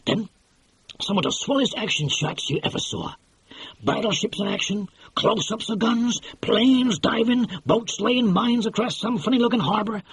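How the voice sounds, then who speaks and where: A man's voice: high-pitched, expressive, normal speed, slightly rough, no echo, pitched above the performer's own voice, exaggerated voice, rough recording. A man reading an audiobook and voicing a brash, pushy middle-aged movie mogul who talks in slang. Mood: boastful, excited. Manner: slangy, pushy, exclamatory, keeps saying "see". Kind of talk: audiobook character voice.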